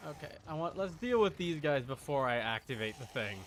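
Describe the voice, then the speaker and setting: A man's voice: on the high side, expressive, brisk, fairly bright tone, a little hiss or hum. A man giving casual live gameplay commentary, muttering to himself as he plays. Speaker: video game streamer.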